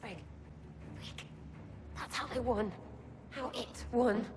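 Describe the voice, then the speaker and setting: An actress playing a haunted but resolute fighter in a fantasy video game, grave and intense in short phrases. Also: female voice, on the high side, steady tone, slow pace, noisy background. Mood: grimly determined. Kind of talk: dramatic video game acting.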